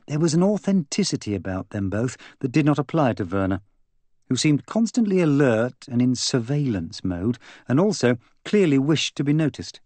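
A man reading a mystery novel as an audiobook, in a measured, expressive literary manner. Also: medium pitch, dry recording. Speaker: audiobook narrator. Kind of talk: scripted narration of a mystery novel.

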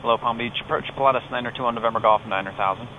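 Casting: male American pilot, mid-pitched, casual flight vlogger with clipped radio calls, clear engine noise behind. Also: rapid-fire, narrow, tinny audio. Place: cockpit of a small turboprop plane in flight. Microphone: aviation headset. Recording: steady aircraft drone behind headset audio.

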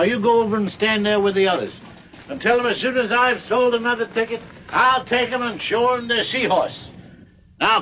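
A man's voice: high-pitched, bright, forceful tone. An older man playing a folksy, boastful old livery man turned showman in a TV western. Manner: folksy barker's patter. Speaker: actor in a television western. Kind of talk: 1960s TV western acting. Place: outdoors on a western town set. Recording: dull vintage TV soundtrack, faint noise.

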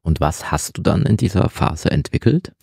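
Man, very low voice, gravelly, relaxed interviewer. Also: moderate pace, no room sound, studio quality. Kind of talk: interview questions. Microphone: video call.

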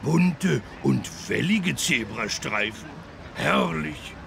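Cartoon elephant, very creaky gravelly voice, slow, naive, wondering, good-natured, children's game. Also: male voice, mid-range voice, lively intonation, fairly bright tone, a little hiss or hum, heavily acted. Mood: excited. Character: big good-natured talking elephant, childlike and easily excited. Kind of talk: children's learning game voice acting.

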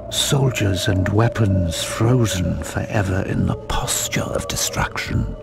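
Gravelly, wise old dragon mentor. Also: male voice, deep voice, exaggerated voice. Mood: ominous. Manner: slow, measured, grave delivery. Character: wise old male fire dragon mentor, solemn and patient. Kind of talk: dramatic video game cutscene acting. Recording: music behind the voice.

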